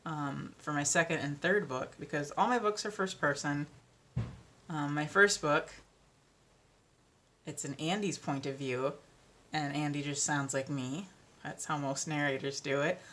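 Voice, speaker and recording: Low-voiced woman chatting casually. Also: fairly bright tone, slight background noise. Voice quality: deeper voice. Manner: rambling, self-deprecating, frequent ums and laughs. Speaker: audiobook narrator and vlogger. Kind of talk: casual chat.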